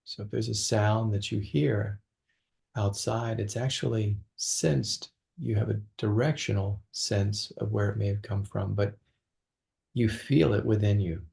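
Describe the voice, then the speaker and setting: A man leading a guided meditation as a teacher, speaking gently and slowly with long pauses between phrases. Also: fairly low pitch, fairly even intonation, vocal fry.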